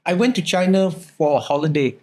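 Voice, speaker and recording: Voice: mid-range voice, brisk, a little mellow. Middle-aged host in casual interview. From Singapore. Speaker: host of a food talk show.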